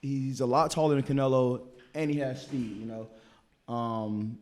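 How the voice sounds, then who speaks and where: A man with a mellow, dark tone chats about fights, circling back and stammering over words as he thinks aloud. He sits at a studio mic with headphones on. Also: mid-range voice, moderate pace. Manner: rambling, repeats words as he thinks. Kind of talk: casual boxing talk show.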